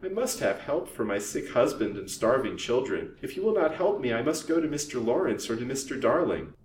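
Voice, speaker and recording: A man's voice: sing-song, moderate pace. A man voicing a sly, greedy old woman who peddles lace, whining and wheedling as a storybook character. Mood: dogged, sly. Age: older. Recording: roomy, distant microphone, faint rumbling noise.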